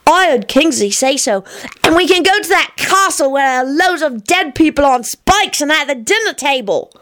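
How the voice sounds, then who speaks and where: Storybook little boy woman performing: very high, lively swooping pitch, cheerful, bold, knowing and earnest. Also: normal speed. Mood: delighted. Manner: knowing, pious, childish grammar, earnest.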